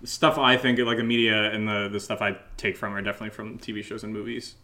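A man's voice: on the low side, level tone, fast, pushed and bright. A man co-hosting a casual question-and-answer chat, rambling hesitantly through loosely strung thoughts. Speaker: podcast co-host and audio drama writer.

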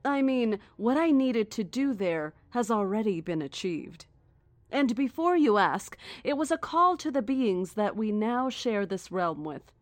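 A woman playing a serene, menacing cult leader in an audio drama, formal, measured and condescending. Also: on the high side, lively intonation, no room sound. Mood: mocking, calm.

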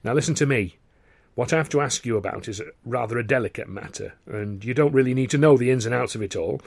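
A man's voice: mid-range voice, fast, creaky, no room sound. A man voicing a middle-aged male theatre impresario who is jovial but touchy, hesitant then snapping. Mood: probing.

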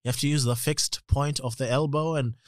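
A man's voice: mid-range voice, steady tone, brisk, no room sound, studio quality. A man co-hosting a podcast in casual chat, rambling, swearing and laughing often. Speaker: podcast co-host. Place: podcast studio.

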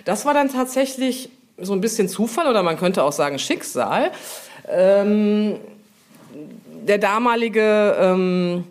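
A middle-aged woman speaks as a guest in a casual interview. Her pitch sits in the middle range. She explains things conversationally, pausing to find her next phrase. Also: lively intonation, darkish tone. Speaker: brand manager and interview guest.

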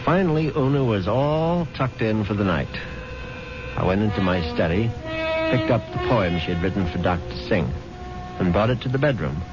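An actor playing a scheming, murderous husband in a 1970s radio mystery, unctuous and confiding, given to stammering sweet reassurance. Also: male voice, on the low side, expressive, normal speed, natural voice. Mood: smug, confiding. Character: scheming murderous husband, nervously sweet-talking his wife. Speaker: narrator and lead character. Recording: dull vintage radio recording with music.

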